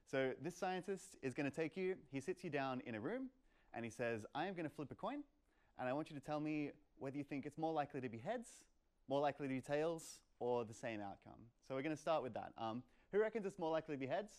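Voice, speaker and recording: A man's voice: on the high side, animated, quick pace, darkish tone. A young man presenting an informal lecture to a meetup in a lecture room, in a playful, conversational manner with plenty of "like" and "kind of". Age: young adult. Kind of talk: informal live lecture with audience questions. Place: medium-sized lecture room, indoors.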